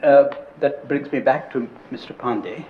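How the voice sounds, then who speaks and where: A man's voice: mid-range voice, normal speed. A man moderating a conference panel session, politely directing the questions. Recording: dull audio with faint noise.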